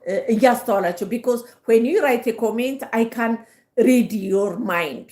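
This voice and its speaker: An older Ethiopian woman with an Ethiopian accent, hosting a casual livestream. Her first language is Amharic. She speaks halting English full of fillers and slips quickly into Amharic. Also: fairly high pitch, a little mellow. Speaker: livestream host. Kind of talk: casual live-stream monologue. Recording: roomy echo.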